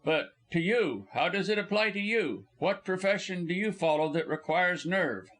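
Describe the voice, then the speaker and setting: A man's voice: high-pitched, expressive, normal speed, fairly bright tone, voice pushed up. A man voicing a grim, earnest doctor in a near-everyday voice. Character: grim, eager middle-aged male doctor.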